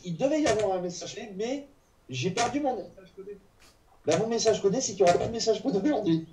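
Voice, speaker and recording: A woman hosting a live food show from home, chatting with a guest and asking questions in quick bursts. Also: mid-range voice, animated, dark tone, a little hiss or hum. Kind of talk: casual live chat, interviewing a guest. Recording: echoey room, distant mic, music behind.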